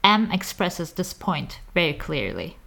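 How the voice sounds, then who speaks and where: A woman's voice: lowish voice, big pitch swings, a little slow, gravelly, a bit bright. A woman reads scripted presenter narration, introducing and summing up points in a formal style.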